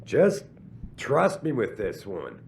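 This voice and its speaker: An actor playing a stern, guarded corporate boss in a video game, speaking firmly and persuasively. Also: male voice, fairly low pitch, wildly swooping pitch, takes it slowly, vocal fry, a little mellow, slight background noise, exaggerated voice. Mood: irritated. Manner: firm, defensive, persuasive. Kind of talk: dramatic video game dialogue.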